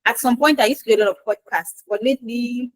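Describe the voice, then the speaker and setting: A woman, a Yoruba speaker, answering interview questions in a lively, chatty way with acted-out quotes. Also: a little high, fast talker, dry recording, rough recording. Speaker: guest expert in quality assurance.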